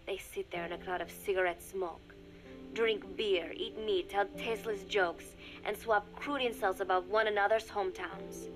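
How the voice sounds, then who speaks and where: An actress playing a young secret agent confiding in a recorded diary, speaking in measured, formal phrases that often drop to a murmur, wistful and resigned. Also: female voice, medium pitch, lightly acted, poor audio. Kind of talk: scripted dramatic monologue, recorded diary.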